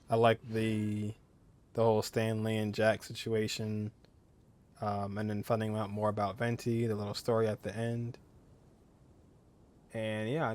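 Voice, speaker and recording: A man doing casual playthrough commentary as a gaming creator, reacting in an informal, rambling way. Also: lowish voice. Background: faint music.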